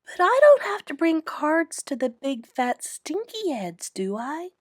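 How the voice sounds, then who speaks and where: A woman voicing an excitable, blunt little kindergarten girl with gushing, childish, emphatic speech for a storybook. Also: high voice, unhurried, dry recording, clean studio sound, voice pushed up. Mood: sly.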